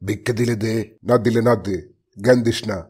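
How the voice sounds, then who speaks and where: A man voicing a gruff, greedy beggar in a cartoon, curt and husky, with a Bangladeshi accent. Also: medium pitch, steady tone, brisk, a little mellow, close to an everyday voice. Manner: curt, dismissive. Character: gruff, greedy male head of street beggars.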